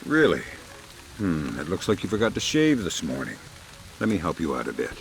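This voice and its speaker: Deep-voiced, deadpan spy. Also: male voice, normal speed, gravelly, full character voice, rough recording. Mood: cool menace. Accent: American. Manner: deadpan, dry sarcasm. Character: gruff middle-aged male American spy, sardonic and coolly menacing. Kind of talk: video game voice acting.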